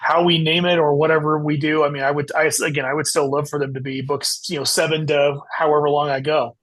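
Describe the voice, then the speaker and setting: Higher-pitched man, explaining conversationally. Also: little pitch movement, fast, a bit bright. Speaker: guest author. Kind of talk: casual interview chat.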